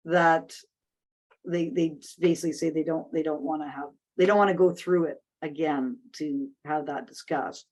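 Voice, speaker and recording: Woman host chatting, lots of restarts and ums, working her thoughts out loud. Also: low voice, poor audio. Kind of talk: casual discussion.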